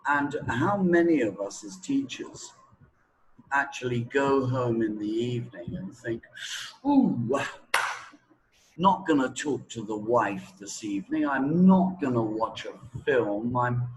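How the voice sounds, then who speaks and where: A middle-aged man giving opinionated, deliberate answers with long pauses in a conversational interview. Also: mid-range voice, poor audio. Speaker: English teaching expert being interviewed.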